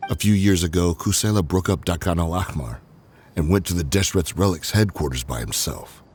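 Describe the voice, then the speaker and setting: A man's voice: low voice, a little fast, background noise, lightly acted. A man voicing a loyal male gang member in a video game, speaking solemnly.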